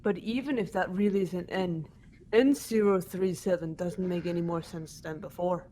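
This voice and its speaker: A woman's voice: on the low side, moderate pace, warm tone, slight background noise, lightly acted. A woman voicing a composed, incisive female student who explains evidence methodically in an amateur game dub. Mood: analytical. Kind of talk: amateur voice acting in a visual novel playthrough.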